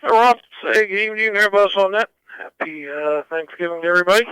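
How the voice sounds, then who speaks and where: A man giving a casual, halting check-in over amateur radio. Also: sits high, bright, forceful tone, smooth, dry recording. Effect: amateur radio transmission. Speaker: ham radio net participant. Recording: dull amateur radio audio.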